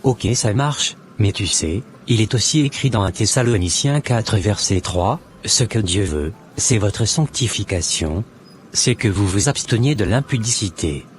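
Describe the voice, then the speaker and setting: A man voicing a church brother who slowly quotes scripture to correct a friend in a cartoon. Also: fairly low pitch, animated, a little hiss or hum. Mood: preachy. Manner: slow, preachy, quotes scripture. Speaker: channel co-host.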